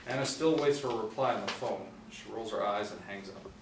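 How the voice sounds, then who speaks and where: A young man narrates screenplay directions at a table read. His voice sits slightly low, picked up from a distance in an echoey room. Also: fairly quick. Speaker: narrator reading stage directions. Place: small meeting room, seated in a row. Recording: echoey room, distant mic, faint noise.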